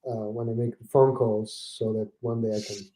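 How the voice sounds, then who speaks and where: A man from Italy with an Italian accent, a podcast guest talking casually and haltingly, with many fillers and restarts. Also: on the low side, level tone, mellow, low-quality recording. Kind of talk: casual conversation.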